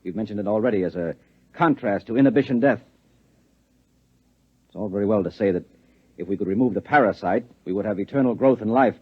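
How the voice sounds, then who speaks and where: An actor playing a stern, insistent husband with grim secrets in a 1950s radio drama. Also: male voice, lowish voice, a little fast, pauses often, breathy edge. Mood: grim, persuasive. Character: hard, controlling government husband with grim secrets. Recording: dull, lo-fi 1950s radio recording.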